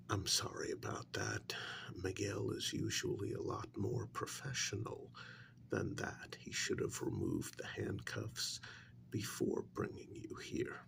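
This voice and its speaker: A man acts out a calm, patronizing agent questioning a prisoner in a soft ASMR role-play. His low voice is thick with vocal fry, and its pitch wanders a good deal as he pauses and hedges politely. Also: heavily acted. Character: polite, composed male agent interrogating a captured werewolf.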